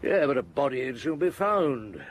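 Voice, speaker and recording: Man acting middle-aged detective chief, weary, gruff, compassionate, widely varied pitch, radio drama, faint background noise. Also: big pitch swings, normal speed, close to an everyday voice. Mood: weary, businesslike.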